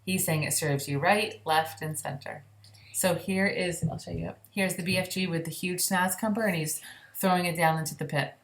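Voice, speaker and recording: A woman's voice: on the low side, fairly even intonation, moderate pace. Woman reading a storybook in an echoey room. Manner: explanatory, conversational asides. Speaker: read-aloud storyteller. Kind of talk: storybook read-aloud with explanatory asides to children. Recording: roomy indoor echo.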